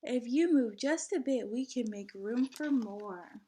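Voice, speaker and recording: A woman voicing an easygoing father in a picture book, reassuring and gently insistent. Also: a little high, lively intonation, a little slow.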